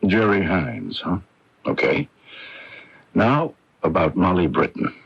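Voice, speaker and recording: An actor playing a gruff, insistent police lieutenant questioning people in a radio drama. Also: male voice, sits low, sing-song, takes it slowly, full character voice. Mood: probing. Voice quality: gravelly. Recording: dull lo-fi radio broadcast tape.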